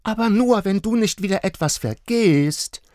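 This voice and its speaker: Storybook figure: a man doing a chirpy, eager small girl, lively and bouncy. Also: a little slow, dry recording, clean studio sound. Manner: high chirpy child voice, eager.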